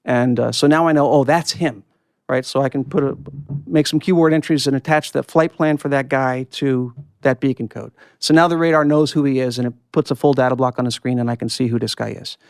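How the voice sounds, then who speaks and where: A man's voice: mid-range voice, fairly even intonation, brisk, no echo, studio quality. A man being interviewed as a guest, explaining technical procedures and recounting experiences in conversational detail. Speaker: guest, former air traffic controller and pilot.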